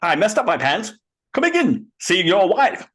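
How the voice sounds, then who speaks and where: A man's voice: sits high, very animated, normal speed, fairly bright tone, voice pushed up, heavily acted. A man voicing a boastful kung fu master with an exaggerated put-on accent and broken speech.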